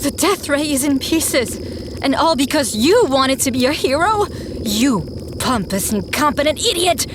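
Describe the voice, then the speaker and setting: A woman's voice: high voice, expressive, unhurried. A woman playing a fiery, hot-tempered, blunt and outspoken heroine in an audio drama. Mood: furious. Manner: forceful, blunt, exasperated. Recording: clear noise behind the voice.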